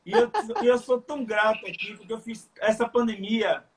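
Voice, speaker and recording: Bahian man, high voice, sincere, halting speech full of fillers. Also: fairly even intonation, a little hiss or hum. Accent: Bahian Brazilian Portuguese. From Salvador, Bahia, Brazil. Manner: earnest, halting with frequent fillers. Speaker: live stream guest. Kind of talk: casual chat.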